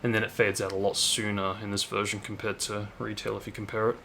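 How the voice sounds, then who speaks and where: Low-voiced man giving gaming reaction commentary. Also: flat delivery, fast talker, vocal fry, pushed and bright, slight background noise. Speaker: gaming video host. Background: game cutscene soundtrack.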